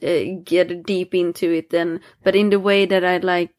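A woman's voice: on the low side, little pitch movement, moderate pace, darkish tone. Hesitant Swedish woman chatting. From Sweden. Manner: hesitant, many restarts and fillers. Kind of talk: casual conversation.

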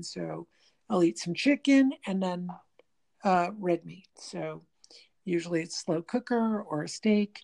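A woman with a gravelly, slightly nasal voice talks in a loose, rambling way, full of restarts and "you know"s. Her pitch sits in the middle of the range. It is casual, unscripted conversation. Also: a little mellow. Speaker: guest talking about diet and the body.

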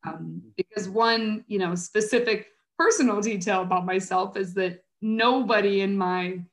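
A woman's voice: mid-range voice, steady tone, moderate pace. An American woman answering interview questions in an earnest, chatty, conversational way, thinking aloud as she goes. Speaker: interview guest, nonprofit coordinator and mentor.

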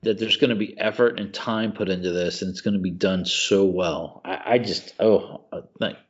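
A man with a fairly low voice chats casually as a podcast co-host. He is effusive and slangy, often restating a phrase to drive it home. The recording is a little dull and lo-fi. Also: steady tone, normal speed, husky. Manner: effusive, slangy, repeats himself for emphasis. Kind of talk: casual chat about video games and movies.

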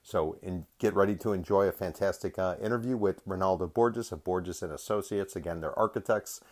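A man's voice: deep voice, a little fast, darkish tone, no echo, clean studio sound. A man hosting an interview podcast in a casual, chatty, informal way with emphatic delivery. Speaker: podcast host.

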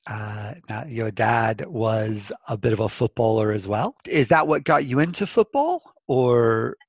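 A man's voice: medium pitch, expressive, measured pace, dry recording. Male host, friendly and teasing, video call with a dry, close sound. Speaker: podcast host. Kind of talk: casual interview chat.

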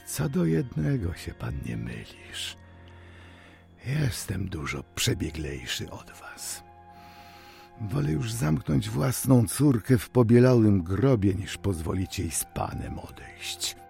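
A cold-hearted, scheming innkeeper father in a historical radio drama. His voice is gravelly and moves widely in pitch, with menace under mock courtesy. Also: a little mellow, heavily acted. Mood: bitter, menacing.

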